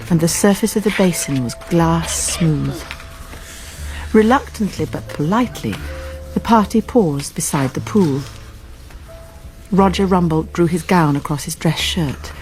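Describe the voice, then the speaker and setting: A composed academic woman narrating a murder mystery for radio. Her voice sits fairly low, measured and literary, with faint music behind it. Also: a little mellow. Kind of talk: scripted first-person radio drama narration.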